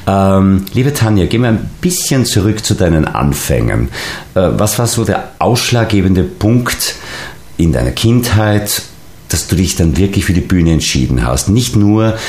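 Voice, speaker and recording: A man's voice: sits low, very animated, unhurried, a little mellow. A man hosting a radio show and interviewing a guest in a studio. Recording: roomy, echoing studio sound.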